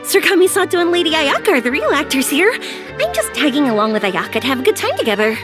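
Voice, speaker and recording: A woman voicing a bubbly, curious young woman traveler in a video game, chatty and excitable. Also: high-pitched, fast, over music. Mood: cheerful, musing. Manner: breezy, chatty, exclaiming.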